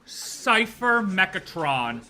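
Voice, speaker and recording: A male streamer reacting to a game live, jokey and rambling. His pitch swings widely, and his tone is very bright and sharp. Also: very animated, very slow, faint music behind.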